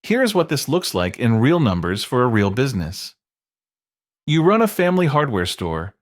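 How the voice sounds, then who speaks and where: A man reading scripted explainer narration in a measured, emphatic way, built on short dramatic statements. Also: medium pitch, big pitch swings, no room sound, clean studio sound.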